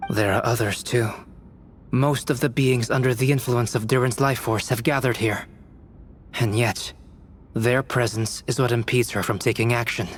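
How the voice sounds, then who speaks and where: An actor playing a composed young alchemist knight in a video game, speaking in a measured, formal way. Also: male voice, medium pitch, fairly even intonation, a little hiss or hum, lightly acted. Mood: coolly challenging.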